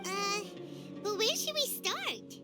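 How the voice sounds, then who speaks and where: A woman voicing a small floating fairy companion, a naive, excitable little girl with a squeaky voice, in a video game. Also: slow pace, music in the background, heavily acted. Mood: sheepish. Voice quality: squeaky, childlike. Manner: refers to self in third person, naive, excitable. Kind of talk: video game character acting.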